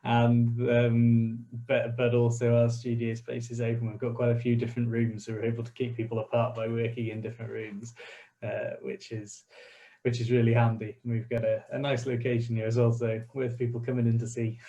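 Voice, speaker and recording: A man's voice: mid-range voice, monotone, a little fast, mellow, dull audio. A man in an online interview, explaining his community radio station in a friendly, hesitant way with many restarts and light laughs.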